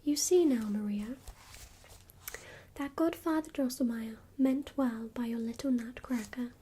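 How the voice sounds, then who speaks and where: A woman whispering the lines of a serious, concerned mother, measured and reserved. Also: fairly high pitch, mellow, a little hiss or hum, close to an everyday voice.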